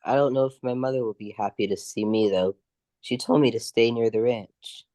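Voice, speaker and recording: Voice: mid-range voice, moderate pace, dark tone, natural voice. An adult performer playing a brave but scared young boy in an amateur radio drama. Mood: anxious, relieved.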